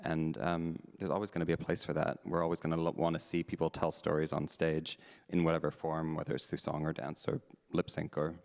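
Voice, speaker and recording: A man's voice: very deep voice, monotone, brisk, vocal fry, few pauses, a little mellow. A man hosting a live panel talks frankly and candidly, thinking aloud as he answers audience questions. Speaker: panel host, drag performer. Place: meeting house hall. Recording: long hall reverb.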